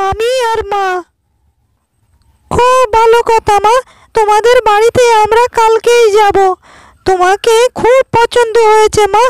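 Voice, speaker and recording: A woman voicing village women and girls in a cartoon moral tale, speaking earnestly and deliberately. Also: extremely high pitch, low-quality recording. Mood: shy, polite, then kindly. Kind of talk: cartoon dialogue acting. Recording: dry voice over background music.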